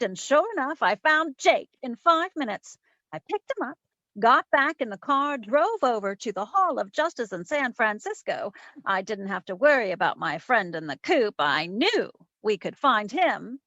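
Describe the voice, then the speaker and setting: A woman playing a sassy, quick-witted private detective, narrating her case in old-time radio drama style. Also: on the high side, sing-song, pushed and bright, no echo, lo-fi sound. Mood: brisk, wry. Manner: wry, wisecracking.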